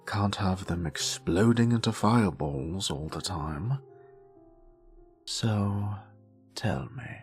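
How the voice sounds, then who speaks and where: Casting: grumpy, haughty British male wizard played by a male actor for audio roleplay; low, creaky voice, sardonic and theatrical. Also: a little mellow. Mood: condescending, inviting. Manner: theatrical, haughty, drawling, dry sarcasm. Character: grumpy, sassy male wizard, exiled former professor. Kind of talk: scripted character monologue, audio roleplay.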